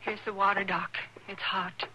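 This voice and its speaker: A woman's voice: medium pitch, flat delivery, moderate pace, background noise, close to an everyday voice. An actress playing a grieving, bitter frontier mother in a 1950s radio western, with rustic, pleading speech.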